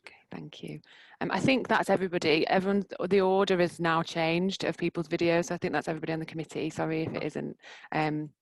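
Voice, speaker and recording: A woman hosts a video meeting with a deep, gravelly voice recorded dry and close. She calls on people in turn, apologetic, her words often trailing off quietly. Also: flat delivery, fast, dry recording, poor audio. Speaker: meeting host. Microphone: video call.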